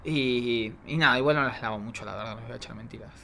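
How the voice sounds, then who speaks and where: A man with an Argentine accent in casual chat, telling stories in an animated, campy, gossipy way. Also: mid-range voice, fast talker, gravelly, a little hiss or hum. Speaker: drag queen podcast guest.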